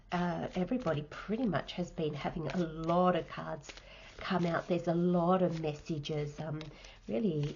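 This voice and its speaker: A woman giving a tarot reading, nasal and croaky from hay fever, speaking softly and sometimes slipping into a whisper. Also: on the low side, dull audio, slight background noise. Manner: soft, unhurried, drops into whispers while laying cards. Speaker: tarot reader.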